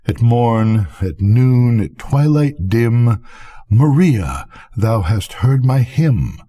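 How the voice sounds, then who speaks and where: An older man voicing a dying wife in a gothic story, solemn and fervent, with husky, drawn-out, declamatory delivery. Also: medium pitch, lively intonation, warm tone. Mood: fervent prayer.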